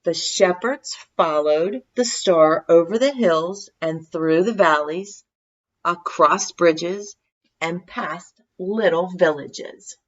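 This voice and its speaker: Lady reading a kids story out loud, expressive, lowish voice, slightly muffled audio. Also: slow. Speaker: storybook reader. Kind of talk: expressive scripted children's story narration.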